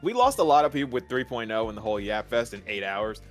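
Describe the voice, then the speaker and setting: A man's voice: mid-range voice, big pitch swings, fast, soft background music. A young man chatting casually as a guest commentator, informal and opinionated, acting out his reactions. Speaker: guest co-commentator on a game discussion. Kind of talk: casual chat.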